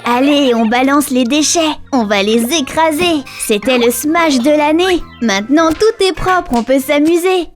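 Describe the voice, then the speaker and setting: A woman voicing an excitable little lion cub boy in rhyming, exclamatory lines for a children's cartoon. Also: high voice, a little slow, few pauses. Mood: excited. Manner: exclamatory, sing-song rhyming. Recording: children's music behind the voice. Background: children's music.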